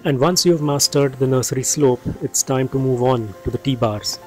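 A man giving scripted voice-over narration for a travel vlog, in a measured, informative way. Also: medium pitch, faint music behind.

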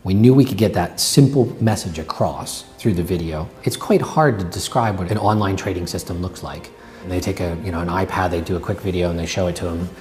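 A man's voice: low voice, fairly quick, darkish tone, soft background music. A man in his thirties, a bank executive, explaining a project earnestly in a corporate interview indoors. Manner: earnest, explanatory business talk. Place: indoor office with brick wall.

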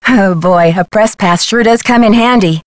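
A woman's voice: mid-range voice, normal speed, no echo, lightly acted. A woman playing a level-headed teenage girl detective in a video game, speaking scripted lines in a composed, conversational way. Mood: chatty. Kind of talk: scripted game dialogue and letter narration.

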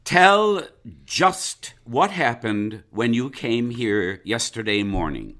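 A sharp, officious attorney. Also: male voice, mid-range voice, big pitch swings, slow, exaggerated voice. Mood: impatient. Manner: officious, interrupting, probing. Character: brisk, officious county attorney questioning a witness. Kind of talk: live audio stage drama.

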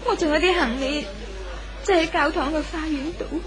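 An actress with a Hong Kong Cantonese accent playing a sorrowful, reflective young woman in a 1970s radio drama, speaking in a measured, wistful way. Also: sits high, natural voice. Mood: shaken, subdued.

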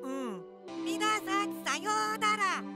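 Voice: extremely high pitch, big pitch swings, normal speed, over loud music. An actor voicing a cute, perky anime princess in a sugary, childlike manner. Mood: sweet. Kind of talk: parody anime dialogue.

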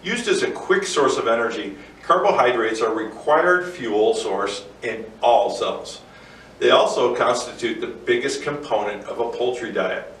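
A man in his forties, seated co-host, giving a scripted educational explainer in a steady, measured way. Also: medium pitch. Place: indoor studio set with barn-wood backdrop. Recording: roomy echo, distant mic, faint noise.

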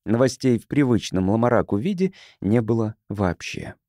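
A man narrates science fiction as an audiobook. His mid-range voice moves through lively, varied intonation, and the sound is dry, with hardly any room to it. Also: mid-range voice, normal speed, dry recording.

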